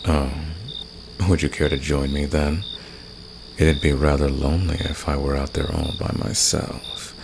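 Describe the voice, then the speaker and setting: Guy doing a flirty fantasy villain for asmr roleplay, super deep growly croaky voice, soft and teasing, night crickets going in the background. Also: level tone, a little slow, full character voice. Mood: teasing, flirtatious. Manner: teasing, seductive, soft-spoken. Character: flirtatious, teasing male fantasy villain, secretly caring rebel. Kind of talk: intimate ASMR roleplay addressed to the listener. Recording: noisy, with nighttime crickets and insects. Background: cricket and insect night ambience.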